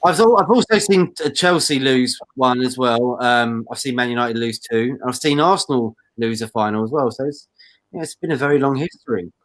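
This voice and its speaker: A man's voice: mid-range voice, normal speed, fairly bright tone, no room sound, poor audio. Rambling mid-thirties man in football banter. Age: 30s. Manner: rambling, laughs often. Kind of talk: casual group banter.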